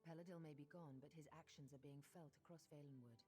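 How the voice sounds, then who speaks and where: A woman's voice: low-pitched, steady tone, warm tone, faint music behind, lightly acted. An actress playing a composed, gracious elven queen in a fantasy video game, formal and solemn. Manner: formal, measured, regal.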